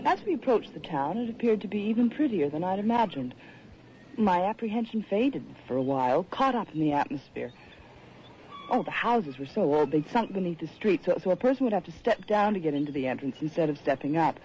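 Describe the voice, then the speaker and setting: A woman playing a polite, uneasy writer in an old-time radio drama, with measured, literate, dramatic phrasing. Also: fairly low pitch, very animated, warm tone. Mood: reflective. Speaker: narrator and lead character. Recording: muffled, noisy old radio recording.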